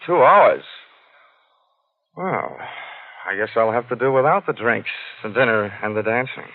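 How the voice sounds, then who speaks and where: An actor playing a wisecracking, hard-boiled American insurance detective, narrating a 1940s radio drama. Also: male voice, medium pitch, animated, unhurried. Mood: wry narration. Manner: wisecracking, sardonic. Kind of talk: 1940s radio acting, first-person narration. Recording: tinny 1940s radio recording. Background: music.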